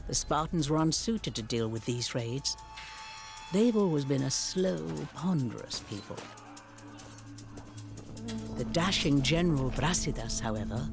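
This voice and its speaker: An eloquent ancient Greek storyteller. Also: male voice, fairly high pitch. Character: Greek male storyteller narrating the history of wars. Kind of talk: dramatic scripted narration.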